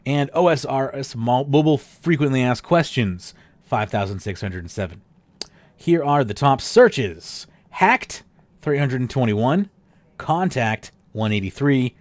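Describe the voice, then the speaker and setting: A man hosting a podcast with a worn, slightly tired voice. His pitch moves a lot as he drifts into sarcastic asides, and the sound is dry and close. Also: unhurried, no room sound. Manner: animated, sarcastic asides, trails off with fillers. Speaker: podcast host. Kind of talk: casual podcast chat reading game news.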